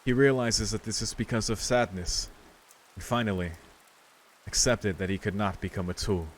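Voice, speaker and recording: A man's voice: fairly low pitch, moderate pace, slight background noise. A man streaming a visual novel, reading its narration aloud with casual commentary, with rain sounds from the game behind him.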